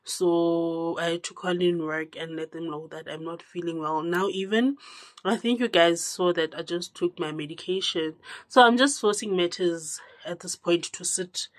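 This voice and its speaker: A congested young woman chatting to camera in a small room, her voice rising and then dropping to a low murmur. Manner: chatty, with drawn-out words and sudden drops to a low murmur. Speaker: vlogger. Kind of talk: casual direct-to-camera chat.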